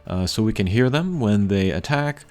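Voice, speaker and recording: A man giving casual, unscripted gameplay commentary in a rambling, low-key way with frequent hesitant pauses. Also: expressive, a little mellow. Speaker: game video creator. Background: video game music.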